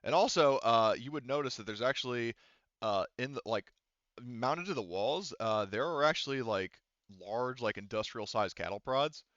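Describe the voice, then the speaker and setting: A man's voice: medium pitch, animated, normal speed, fairly bright tone, dry recording, dull audio. A man acting as game master, narrating a tabletop game off the cuff in a casual way with some swearing. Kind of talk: improvised tabletop game narration over voice chat.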